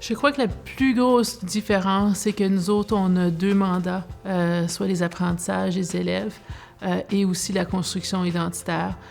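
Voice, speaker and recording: A woman, explaining in a measured way in a documentary interview. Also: mid-range voice, fairly even intonation, gravelly, darkish tone, faint music behind.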